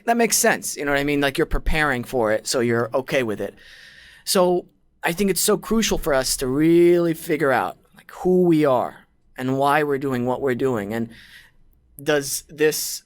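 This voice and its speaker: A man's voice: mid-range voice, fairly bright tone, no room sound. A man hosting a podcast, talking earnestly in casual chat and often correcting himself mid-sentence. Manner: earnest, searching, self-correcting. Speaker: podcast host.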